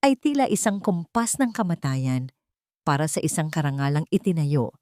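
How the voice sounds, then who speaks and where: A woman's voice: deep voice, sing-song, normal speed, mellow, dry recording, clean studio sound. A woman reading a scripted dramatic love story as its narrator.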